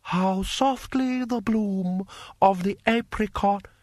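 Guy putting on a high nervous eunuch scribe, fussy, slow n deferential, storybook reading. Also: a little mellow, dry recording, studio quality. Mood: reciting delicately. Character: timid, fussy male court eunuch scribe.

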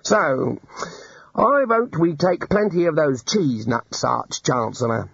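A man's voice: a little high, expressive, slow, creaky, fairly bright tone, slightly rough, dry recording, pitched above the performer's own voice, exaggerated voice. A man doing a mild, dithering old wizard. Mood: musing. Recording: dull lo-fi audiobook recording.

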